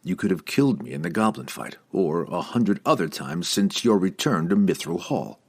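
A man voicing a principled, defiant dark elf warrior in a dry, formal manner for a fantasy audiobook. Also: sits low, expressive, normal speed, vocal fry, slightly rough, dry recording, heavily acted. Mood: grave defiance.

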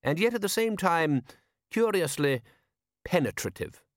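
A man voicing an urbane aristocratic ruler in an audiobook, measured and drily ironic. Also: a little high, sing-song, dry recording, clean studio sound, pitched above the performer's own voice. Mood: dry irony. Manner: measured, deliberate, drily sardonic.

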